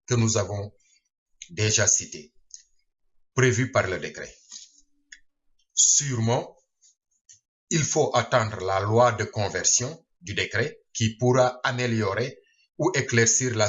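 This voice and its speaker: A man reading a scripted news explainer aloud in short, halting phrases. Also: lowish voice, fairly bright tone, dull audio. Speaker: reader and translator of a news bulletin.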